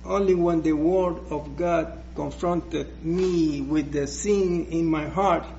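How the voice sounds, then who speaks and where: Slow, hesitant Puerto Rican preacher. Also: male voice, on the high side, fairly even intonation, vocal fry, warm tone, highs cut off, a little hiss or hum. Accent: Puerto Rican Spanish. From Puerto Rico. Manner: slow, hesitant, with many restarts. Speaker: guest preacher. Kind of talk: personal testimony in church.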